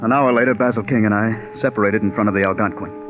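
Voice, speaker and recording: An actor playing an earnest, patient scriptwriter in a polite, measured 1940s radio drama manner. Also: male voice, fairly low pitch, steady tone, lightly acted. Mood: earnest narrating. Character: earnest, patient male scriptwriter narrating his own story. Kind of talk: 1940s American radio drama acting and first-person narration. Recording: dull vintage radio recording with music.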